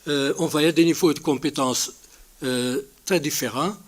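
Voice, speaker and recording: A man, a native Dutch speaker with a Dutch accent, giving a conference presentation in a halting way, searching for his words. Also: fairly high pitch. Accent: Dutch-speaking, non-native French. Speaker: conference speaker from a healthcare organisation.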